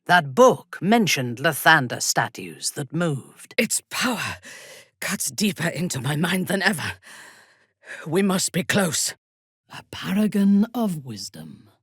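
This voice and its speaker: Imperious female dark elf warlord for a fantasy game: slow, husky delivery, coldly menacing, ruthless and formal. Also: deep voice, sing-song, no echo, clean studio sound, heavily acted. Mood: intent. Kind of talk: video game character dialogue.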